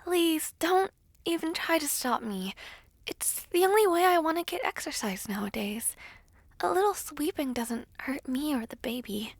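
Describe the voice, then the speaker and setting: A woman playing a gentle, modest young princess in an audio roleplay, soft and breathy, speaking politely and hesitantly. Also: sits high, lively intonation, moderate pace, no echo. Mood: grateful, meek. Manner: soft-spoken, hesitant, polite, formal diction.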